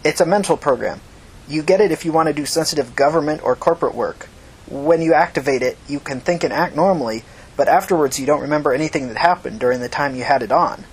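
A man's voice: a little high, fast talker, breathy edge, rough recording. A man reading the part of an evasive, nervous, bookish male computer whiz who answers defensively in an audiobook. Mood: guarded. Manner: guarded, hesitant, defensive.

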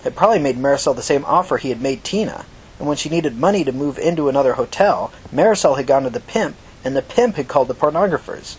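Husky-edged man reading fiction. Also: mid-range voice, expressive, fast talker, a little mellow, poor audio. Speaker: fiction podcast narrator. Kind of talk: scripted audiobook narration with acted dialogue.

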